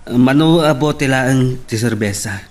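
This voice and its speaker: A man's voice: mid-range voice, measured pace, darkish tone, close to an everyday voice. An actor with a Filipino accent playing a casual, inquisitive man back from drinks in an audio drama. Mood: casual, probing. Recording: distant from the microphone.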